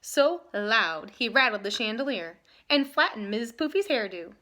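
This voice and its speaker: A woman teaching and reading a picture book aloud with emphatic, expressive delivery. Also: on the high side, a little slow. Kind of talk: lesson talk and animated storybook reading.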